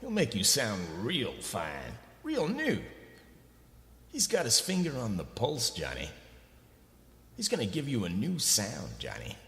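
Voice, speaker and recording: A man acts out a slick, greedy record boss from a comic radio play. His pitch leaps all over as he pushes and wheedles like a salesman. The sound is echoey, with long reverb. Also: huge pitch swings, a bit bright, exaggerated voice. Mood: pushy, excited. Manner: fast-talking salesman patter with wheedling asides. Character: slick, pushy, greedy male record company executive.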